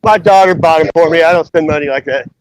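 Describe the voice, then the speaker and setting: A man's voice: a little high, quick pace, no room sound, poor audio. A man chatting casually on a live stream outdoors, filming with a chest-mounted phone, rambling and swearing now and then. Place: outdoors in bright sun. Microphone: phone camera on chest.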